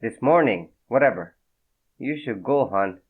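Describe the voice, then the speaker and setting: A man's voice: medium pitch, expressive, unhurried, slightly rough, pitched above the performer's own voice. A man playing a weary, tough-talking mobster who speaks bluntly and impatiently. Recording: tinny phone-like sound.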